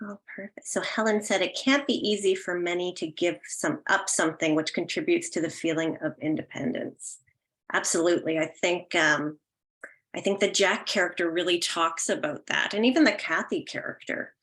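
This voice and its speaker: A woman's voice: fairly low pitch, steady tone, moderate pace, fairly bright tone, poor audio. A woman hosting a listening session, talking casually to participants. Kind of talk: announcing and casual event hosting.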